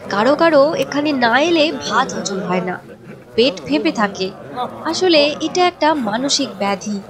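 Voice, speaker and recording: A woman reading scripted narration as the storyteller of a story. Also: sits high. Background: music.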